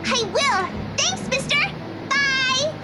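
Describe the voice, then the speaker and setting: A woman's voice: extremely high pitch, lively intonation, extremely slow, very noisy, exaggerated voice. A woman voicing an innocent, curious, earnest little girl in a visual novel, plain-spoken and childlike, with music behind her. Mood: friendly. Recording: loud music behind the dialogue.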